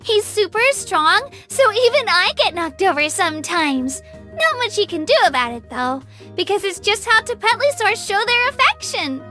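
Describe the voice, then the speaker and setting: A woman's voice: very high-pitched, sing-song, moderate pace, sharp tone, soft background music, full character voice. A woman playing an earnest, timid but determined young girl adventurer in a video game, with a sweet childlike voice. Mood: fond, cheerful. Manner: earnest, self-doubting, eager to please. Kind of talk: English video game character voice lines.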